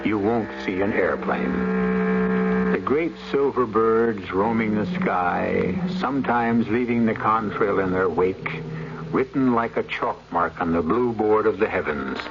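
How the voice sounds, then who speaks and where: A man hosting and narrating a radio mystery in a measured, dramatic, scripted style, as an ominous storyteller. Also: fairly low pitch, expressive, background noise. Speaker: host and narrator. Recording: dull old radio broadcast recording.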